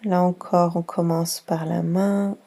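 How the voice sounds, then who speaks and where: Female relaxation guide for kids, very slow and mellow, soft delivery with long pauses. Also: lowish voice, flat delivery, creaky. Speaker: yoga nidra guide.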